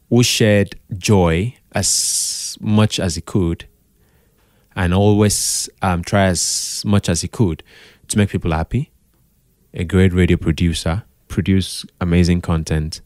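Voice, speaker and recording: Nigerian man, very low voice, dry close sound, casual interview answers, expressive, Pidgin phrases. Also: dry recording. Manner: expressive, mixes in Pidgin, repeats phrases for emphasis. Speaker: podcast guest, radio drama producer.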